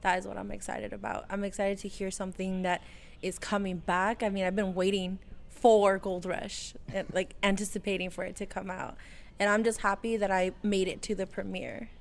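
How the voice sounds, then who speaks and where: Excited, creaky-voiced woman. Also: mid-range voice, moderate pace. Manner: excited, giggly, gushing. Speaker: fan at a premiere. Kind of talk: casual vox-pop interview. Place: premiere event.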